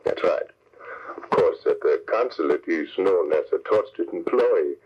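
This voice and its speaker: A cold, scheming spy ringleader, low in pitch, who talks in a measured, formal, persuasive way. The style is vintage radio thriller, with dull sound and faint noise. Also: male voice, lively intonation. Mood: calculating. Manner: smooth-talking, measured, formal. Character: scheming, cold-blooded male spy ringleader offering a deal. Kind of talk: 1940s radio crime serial acting. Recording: dull, noisy old radio broadcast recording.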